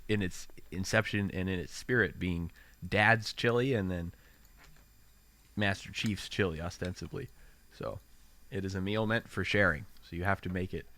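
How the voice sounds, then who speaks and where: A man's voice: low-pitched, bright, forceful tone. A man hosting a casual podcast chat, sounding congested, rambling and correcting himself as he talks. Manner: rambling, self-correcting, laughs often. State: congested after illness. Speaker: podcast host.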